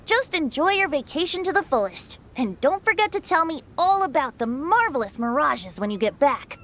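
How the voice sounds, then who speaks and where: Lilting, high-voiced boyish bard. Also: female voice, sing-song, moderate pace, a bit bright, slight background noise. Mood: gentle, cheerful. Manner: airy, teasing, theatrical. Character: playful, carefree boyish male bard, secretly a god. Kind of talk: video game voice acting.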